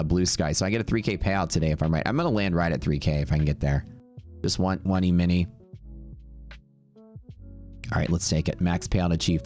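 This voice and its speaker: A man's voice: low voice, a little mellow. A man with a Texas accent, streaming live day trading and chatting casually and brashly with his viewers. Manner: casual, brash, talks to chat.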